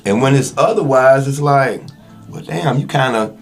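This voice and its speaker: A man's voice: medium pitch, normal speed, vocal fry, faint music behind. A man speaking as a podcast guest in casual, slangy conversation, candid and emphatic about his work as an actor. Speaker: interview guest, actor and independent filmmaker.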